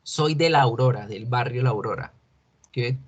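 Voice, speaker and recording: A man speaking as an English teacher, explaining a recorded lesson patiently and repeating key words slowly. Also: medium pitch, slightly rough, dull audio. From Colombia.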